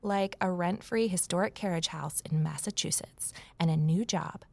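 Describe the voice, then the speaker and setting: A woman's voice: on the low side, normal speed, creaky, no room sound. A woman playing a plucky, wry young former journalist in an audio drama, speaking conversationally. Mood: wry, reflective. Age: young adult. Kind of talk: first-person audio drama narration and acting.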